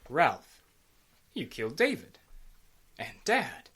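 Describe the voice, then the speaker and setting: An actor playing a stunned, subdued surviving son in an old-time radio drama, with a husky voice. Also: male voice, a little high, wildly swooping pitch, slow, fairly bright tone, heavily acted. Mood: stunned, grieving.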